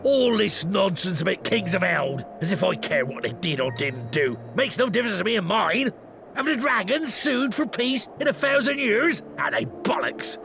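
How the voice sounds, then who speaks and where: Gravelly, indignant British rebel. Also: male voice, high voice, big pitch swings, moderate pace, full character voice. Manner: blunt, sweary, indignant. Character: gruff, indignant commoner, blunt-spoken male rebel. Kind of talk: dramatic video game voice acting. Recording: loud game music behind.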